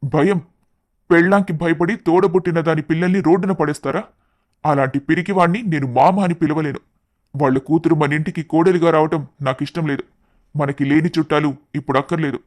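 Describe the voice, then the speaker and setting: A man's voice: fairly high pitch, fairly even intonation, a little fast, darkish tone, lightly acted. A man playing a proud, resentful elder brother in a story, speaking indignantly. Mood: sarcastic, indignant.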